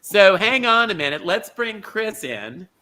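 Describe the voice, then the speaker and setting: A man hosting an online bingo show, teasing his guests with quick, campy asides. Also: high-pitched, sing-song, pushed and bright.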